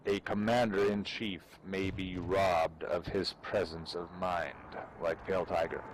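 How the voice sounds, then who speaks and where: A man giving a solemn, deliberate dramatic reading of a military treatise, with pauses between phrases. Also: sits low, steady tone, a little hiss or hum, rough recording. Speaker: amateur reader performing for fellow gamers.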